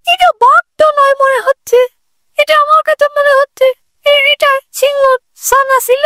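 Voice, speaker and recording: A woman's voice: very high voice, fairly even intonation, normal speed, bright, forceful tone, no room sound, studio quality. A woman voicing a timid young child in a children's cartoon, earnest and simply spoken. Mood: curious. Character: timid young child nephew learning to be brave. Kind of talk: children's moral-story cartoon dialogue.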